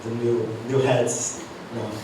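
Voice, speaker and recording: A man's voice: mid-range voice, level tone, slow. A man who is stepping down as program coordinator, speaking hesitantly and repeating words during a university panel. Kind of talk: panel discussion. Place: university auditorium. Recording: echoey hall, distant mic, noisy.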